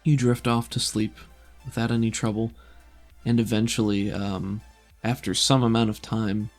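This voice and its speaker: A man narrating a tabletop game as game master, in a measured, descriptive storytelling manner. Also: fairly low pitch, level tone, soft background music.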